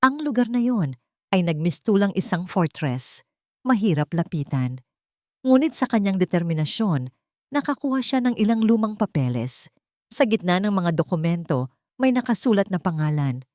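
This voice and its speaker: A woman's voice: on the low side, sing-song, normal speed, a little mellow, dry recording, clean studio sound. A woman narrating a scripted dramatic story as an audiobook-style storyteller. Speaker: narrator of romantic drama stories.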